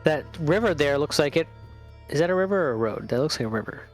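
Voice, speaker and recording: Higher-voiced man, playful tabletop player. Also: animated, fast. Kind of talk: casual game chat. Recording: faint background music.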